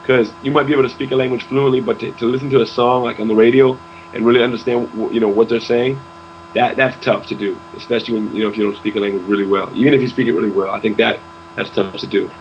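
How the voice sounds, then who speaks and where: A man's voice: mid-range voice, fairly even intonation, fast, slight background noise. Stammering young man, dull lo-fi sound. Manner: stammering restarts, says "you know" often. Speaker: interview guest, rapper. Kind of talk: casual interview talk.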